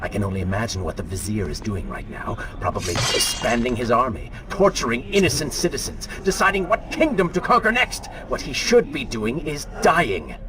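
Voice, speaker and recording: An actor voicing a selfish, sardonic dark inner voice that taunts and goads, in a video game. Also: male voice, medium pitch, very animated, brisk, few pauses, noisy background, lightly acted. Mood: mocking. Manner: mocking, taunting, goading. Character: sardonic dark inner voice, selfish sneering male alter ego. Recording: loud music behind the voice.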